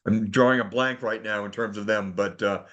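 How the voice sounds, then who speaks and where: A man's voice: fairly low pitch, fairly even intonation, fairly quick, fairly bright tone, dull audio. A man giving practical advice casually as a club member on a group call. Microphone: conference call.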